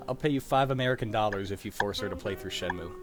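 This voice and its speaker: A man's voice: on the low side, fast, soft background music. A young man hosting a table-read in casual, informal, sweary chat. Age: young adult. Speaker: host of a script table-read.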